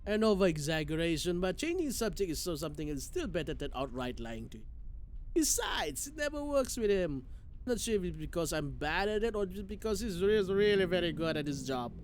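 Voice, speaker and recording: A man's voice: sits high, big pitch swings, brisk, a little hiss or hum. A man streaming a game, reading its narration aloud expressively with occasional stumbles and side comments.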